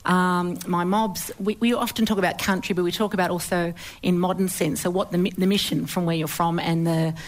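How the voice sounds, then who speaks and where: A woman's voice: on the low side, steady tone, fairly quick, hardly any pauses. A woman with an Australian accent talking conversationally as a panelist, reflective and thinking aloud. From Sydney, Australia. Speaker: panelist, writer.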